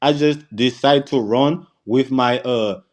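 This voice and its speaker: Virgin Islands man explaining himself haltingly. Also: medium pitch. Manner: repetitive, defensive, halting with restarts. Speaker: panel guest. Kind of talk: casual live-stream chat.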